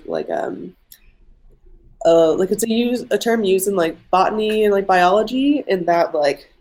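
A woman's voice: lowish voice, a little mellow. A young woman, an artist guest, chatting casually in an interview, rambling with many "like" fillers. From mixed Egyptian and Irish family. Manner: rambling, heavy use of "like" fillers.

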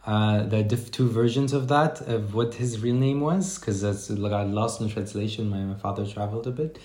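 A man from Germany talks through his answers slowly, doubling back and filling pauses as he thinks. His voice sits low. The sound carries a roomy echo. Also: darkish tone. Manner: reflective, rambling with many fillers and restarts. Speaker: podcast guest, life coach. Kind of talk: casual interview chat.

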